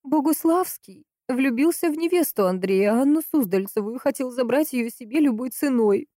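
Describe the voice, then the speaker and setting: Female narrator as distraught, hot-tempered sister in crime audiobook: raised pitch, emphatic, impatient, dry sound. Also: expressive, dark tone, dry recording. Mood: insistent, bitter. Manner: emphatic, impatient, drawn-out stresses.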